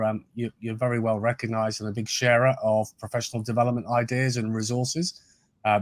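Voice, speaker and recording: A man hosting a podcast, friendly and measured, with hesitant fillers as he asks questions. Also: mid-range voice, no room sound. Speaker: podcast host. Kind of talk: podcast interview.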